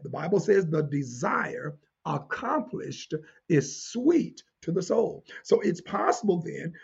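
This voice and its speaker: A man preaching a teaching sermon in an emphatic, deliberate way, repeating key phrases and posing rhetorical questions to his listeners. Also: a little high, gravelly, breathy edge, lo-fi sound.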